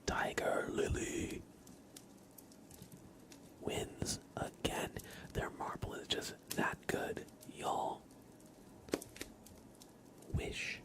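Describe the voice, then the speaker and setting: A man, hosting an ASMR livestream in a gentle, unhurried way and talking directly to his chat. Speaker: livestream host. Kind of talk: ASMR livestream, whispered and soft-spoken.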